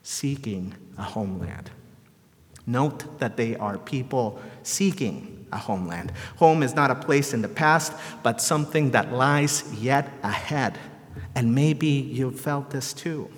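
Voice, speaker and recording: Male preacher, medium-pitched, measured rhetorical sermon delivery, recorded in a large hall with long reverb. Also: animated. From El Salvador. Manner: measured, rhetorical repetition and deliberate phrasing.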